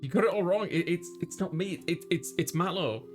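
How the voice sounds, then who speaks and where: A man's voice: high voice, brisk, faint music behind, voice pushed up, lightly acted. Man playing a sheepish young college guy. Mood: flustered, sheepish. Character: flustered young male college student, narrating his story.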